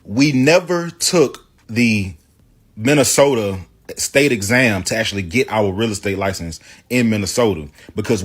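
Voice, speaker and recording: A man's voice: on the low side, husky. A man telling a storytime straight to his viewers, emphatic and defensive, rebutting claims point by point. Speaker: storytime vlogger. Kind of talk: casual storytime to camera.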